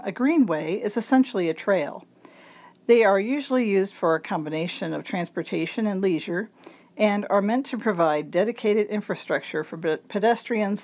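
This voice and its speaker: A woman reads a magazine piece aloud in a raspy voice, thickened by congestion. She takes it slowly and carefully, shaping each word clearly for listeners who cannot read the page. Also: mid-range voice, darkish tone. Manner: slow, careful enunciation. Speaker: volunteer reader of a local magazine. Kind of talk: reading a magazine article aloud.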